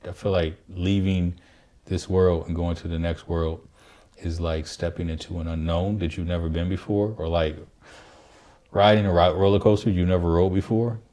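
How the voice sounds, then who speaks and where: Fifties dad host with a deep, low voice; reflective, unhurried storyteller. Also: male voice, fairly even intonation, dark tone. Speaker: podcast host and father. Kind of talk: casual family podcast chat.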